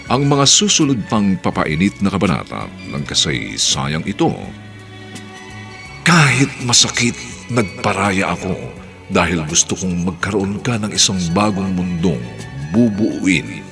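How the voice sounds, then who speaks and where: A man's voice: low voice, sing-song, measured pace, a bit bright. A man with a Filipino accent narrating and announcing a radio drama in a formal, theatrical scripted style. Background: music.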